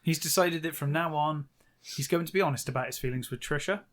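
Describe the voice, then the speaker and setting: A man with a slightly high voice co-hosts a book podcast. He talks through the story in a loose, conversational way, repeating phrases for emphasis. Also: fairly quick. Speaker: podcast co-host. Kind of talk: casual book recap chat.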